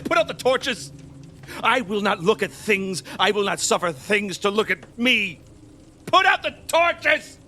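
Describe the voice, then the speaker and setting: A man's voice: very high voice, pushed and bright, slight background noise, heavily acted. A man playing an imperious, superstitious and appalled king, declaiming in a theatrical classical audio drama. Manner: declamatory, commanding.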